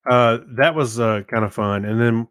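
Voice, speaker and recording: A man's voice: medium pitch, normal speed, a bit bright, smooth, no echo, clean studio sound. A man co-hosting a podcast, chatting in an easygoing, informal way. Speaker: podcast co-host. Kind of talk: casual chat.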